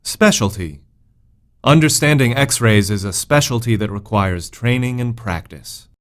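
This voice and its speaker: A man narrating a scripted vocabulary lesson, deliberately stating words and their definitions in an instructional manner. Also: medium pitch. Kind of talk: scripted educational narration, word then definition.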